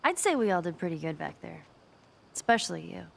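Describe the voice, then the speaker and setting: A woman's voice: fairly low pitch, sing-song, a little fast, creaky, slight background noise, full character voice. An actress with an American accent playing a spirited, wry, caring teenage girl in a video game drama. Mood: gentle, candid. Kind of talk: naturalistic video game cutscene acting.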